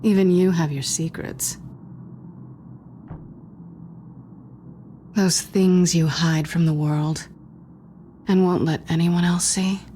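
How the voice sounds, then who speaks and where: A woman playing a sly, guarded young cat burglar with dry wit in a video game, teasing and sardonic in an intimate, low-key way. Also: steady tone, unhurried, warm tone, a little hiss or hum, lightly acted. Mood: cynical, intimate. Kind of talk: naturalistic video game acting.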